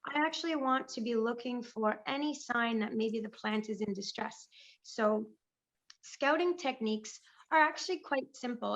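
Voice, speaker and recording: A woman recovering from a lost voice, so it squeaks now and then, speaking enthusiastically and off the cuff on a conference panel over a video call. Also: muffled recording. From Canada. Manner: enthusiastic, chatty, improvising. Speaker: panelist, pest management business owner.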